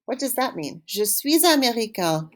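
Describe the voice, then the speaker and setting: A woman's voice: a little high, sing-song, normal speed. A woman teaching a language class, encouraging and instructive, carefully enunciating phrases for learners to repeat. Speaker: French teacher. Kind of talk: language lesson with call-and-repeat.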